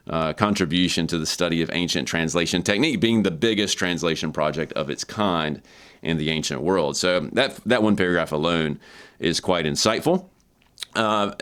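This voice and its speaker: A man with a low voice talks through an academic book as its reviewer. His delivery is informal and explanatory, conversational but scholarly. He often repeats a word or phrase to drive a point home. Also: fairly bright tone.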